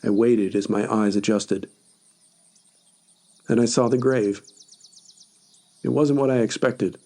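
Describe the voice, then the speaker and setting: A man's voice: fairly low pitch, slow, warm tone. A man reading scripted first-person horror narration as a story podcast narrator.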